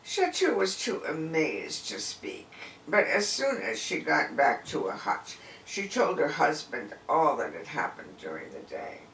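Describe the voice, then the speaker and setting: A man's voice: fairly high pitch, huge pitch swings, a little slow, a bit bright. A man reading a folk tale aloud expressively, with dramatic, emphatic phrasing. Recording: distant, echoey room with faint noise.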